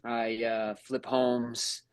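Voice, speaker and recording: A man in his thirties from Brazil, raised in New York, a native Portuguese speaker chatting in a relaxed, rambling way as a guest on a podcast. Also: mid-range voice, extremely slow, clear, resonant tone, no echo. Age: 30s. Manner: laid-back, rambling, lots of fillers and "bro", "man". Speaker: guest, house flipper. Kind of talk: casual podcast chat.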